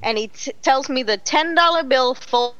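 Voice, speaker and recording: A middle-aged woman hosting a casual online talk show, animated and exclaiming, laughing often. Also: high-pitched, moderate pace, dull audio. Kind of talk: casual live-stream chat.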